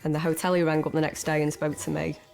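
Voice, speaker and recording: Female police call handler, lowish register, clear music bed underneath. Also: little pitch movement, fast talker, darkish tone.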